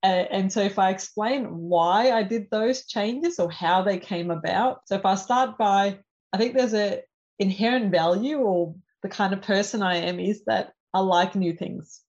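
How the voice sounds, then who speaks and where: A woman's voice: medium pitch, measured pace, a little mellow. Methodical Danish-native woman, slightly muffled. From Denmark. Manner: methodical, lays points out in numbered steps. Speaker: podcast guest, cybersecurity professional. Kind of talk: conversational interview. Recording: slightly dull lo-fi audio.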